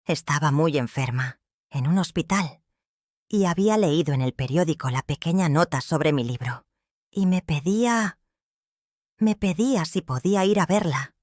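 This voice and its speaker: An older woman with a Mexican accent narrating her own life story in a slow, heartfelt, reflective way. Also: sits low, animated, darkish tone, dry recording, studio quality. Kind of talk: first-person storytelling narration.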